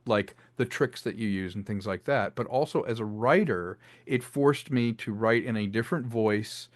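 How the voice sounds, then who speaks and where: A man's voice: fairly low pitch, moderate pace, no room sound. A man being interviewed as a filmmaker and audio drama writer, talking in a conversational, expansive, reflective storytelling way.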